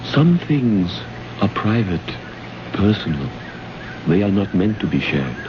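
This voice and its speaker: An actor playing a calm, cryptic man from another planet in a radio drama, speaking in a measured, deliberate, grave way. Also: low voice, heavily acted. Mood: guarded. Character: calm, cryptic alien man guarding his world. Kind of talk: old-time radio drama acting. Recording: noisy, muffled old radio recording.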